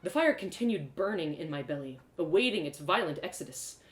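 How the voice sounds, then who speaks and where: A woman reading a first-person fantasy story aloud in expressive storybook narration. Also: lowish voice, fairly quick. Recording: roomy echo.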